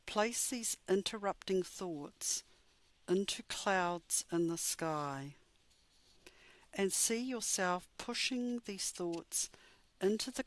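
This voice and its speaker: A woman guides a meditation at a very unhurried pace. Her voice sits a little low and is soothing and measured as she gives gentle instructions. The recording is very dry and close, with no sense of room. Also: no echo. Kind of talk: slow scripted guided meditation.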